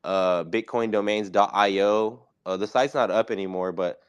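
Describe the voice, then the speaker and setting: A laid-back man with a cold, his voice congested but smooth and a little low. He chats as a guest and is full of fillers. The sound is close and dry. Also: steady tone, normal speed, no room sound, highs cut off. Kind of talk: casual crypto chat.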